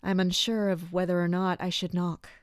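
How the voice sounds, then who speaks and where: A woman playing a concerned, patient servant and confidante in a fantasy audio drama, speaking gently and with measure. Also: fairly low pitch, level tone, normal speed, clear, resonant tone, no echo, clean studio sound, lightly acted. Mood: measured. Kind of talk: fantasy audio drama acting with first-person narration.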